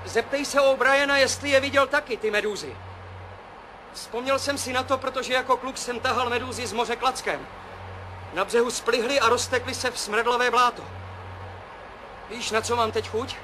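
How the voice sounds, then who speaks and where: An actor playing an awed, rambling test pilot in a 1970s radio play, musing in halting short phrases. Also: male voice, very high voice, fairly even intonation. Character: male test pilot, awed, rambling, seeing strange visions. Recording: noisy 1970s radio drama, engine drone.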